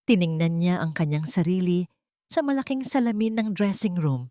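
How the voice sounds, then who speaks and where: Female storyteller for scripted romantic drama narration, lower-pitched, recorded close and dry. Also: moderate pace, mellow, no room sound.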